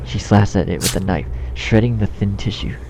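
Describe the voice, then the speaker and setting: A man's voice: fairly low pitch, normal speed, noisy background. A man reading a horror story as narrator in a scripted style. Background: background music.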